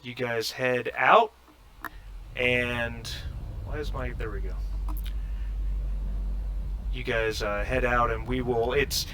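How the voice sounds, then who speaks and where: A man narrating a tabletop sci-fi game as game master, with halting, improvised phrasing. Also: mid-range voice, steady tone, noisy background.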